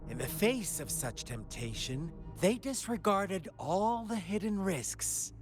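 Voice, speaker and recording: An actor playing a sinister, taunting game villain who tells a twisted fairy tale in a theatrical, drawn-out manner. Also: male voice, a little high. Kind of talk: video game character acting, storytelling monologue. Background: background music.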